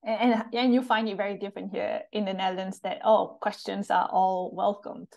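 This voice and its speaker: A woman's voice: medium pitch, little pitch movement, a little fast, creaky, darkish tone. Woman host asking questions over a headset. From Singapore. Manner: probing, rephrases guest's answers as questions. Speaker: interview host. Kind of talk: conversational interview questions. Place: room with bookshelves, video call. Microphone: headset on video call.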